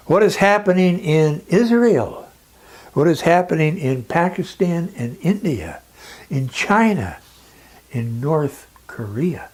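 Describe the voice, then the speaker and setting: A speaker delivering a dramatic scripted monologue in a grave, emphatic, oratorical manner with deliberate pauses. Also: medium pitch, darkish tone.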